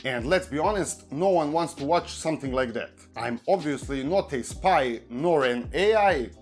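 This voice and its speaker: A man with a Serbian accent, a native Serbian speaker, delivering scripted commentary in a measured, even way as he reads from a prepared text. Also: fairly high pitch, soft background music. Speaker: YouTube commentary host. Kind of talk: scripted commentary read aloud.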